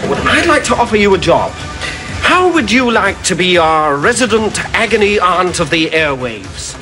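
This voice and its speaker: A man playing a radio station controller, brisk and businesslike. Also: sits high, big pitch swings, over music. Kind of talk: sitcom acting.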